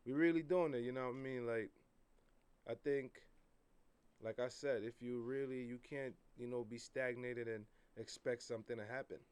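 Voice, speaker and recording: A man's voice: mid-range voice, steady tone, normal speed, a little mellow, clear, resonant tone. A man from New York, a rap artist talking about his tour in a loose, easygoing way with many fillers. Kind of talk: casual interview chat.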